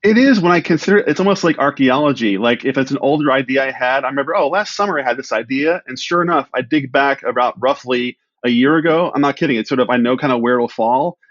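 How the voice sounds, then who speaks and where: A man's voice: medium pitch, fast talker, few pauses, no echo, highs cut off. A man chatting casually as a podcast guest, rambling and self-deprecating as he talks about his habits as a writer. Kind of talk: casual interview chat.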